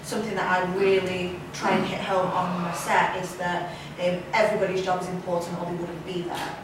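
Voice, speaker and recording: A woman's voice: lowish voice, little pitch movement, brisk. Echoey, distant woman on a film panel. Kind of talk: informal panel talk. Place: indoor event room at a film festival panel. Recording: echoey room from far off, noisy.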